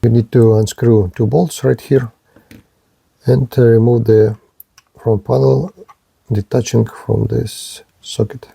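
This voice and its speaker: Slow, halting man demonstrating a gadget. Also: medium pitch, fairly even intonation, creaky, dark tone, husky, no echo. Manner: halting, short fragmented phrases. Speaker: gadget reviewer presenting a radio. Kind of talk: unscripted hands-on product demonstration.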